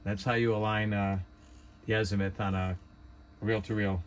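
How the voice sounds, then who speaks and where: A man with a fairly low voice talks through a hands-on demonstration in a casual, homemade style. He thinks aloud with some hesitation and an unpolished delivery. Background noise is audible behind him. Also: level tone, normal speed. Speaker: hobbyist demonstrator. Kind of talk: casual hands-on tutorial narration.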